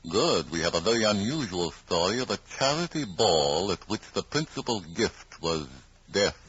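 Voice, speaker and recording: Theatrical, low-voiced mystery club librarian. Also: male voice, fairly bright tone, husky, exaggerated voice. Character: cordial, eerie male librarian of mystery club. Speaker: host and announcer. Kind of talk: 1940s radio mystery hosting, scripted. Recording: muffled old radio recording, faint noise.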